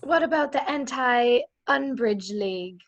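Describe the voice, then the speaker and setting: A woman's voice: fairly high pitch, animated, slow pace. A woman playing a loyal, assertive teenage schoolgirl in an amateur table read. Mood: suggesting.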